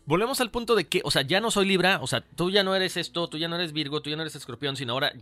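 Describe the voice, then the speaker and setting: A man co-hosting a casual talk show, chatting in an animated way. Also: a little high, fast. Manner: animated, chatty, talks to the co-host by name. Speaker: co-host. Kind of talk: casual chat.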